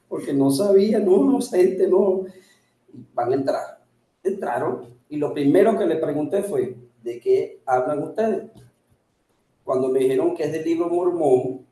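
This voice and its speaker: A Venezuelan man in his forties recounts his story earnestly, sometimes searching for his words. His voice is very dark and mellow, and slightly higher than most men's. The sound is echoey, as if he is in a bare room. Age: 40s. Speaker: interview guest. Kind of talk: storytelling in an interview.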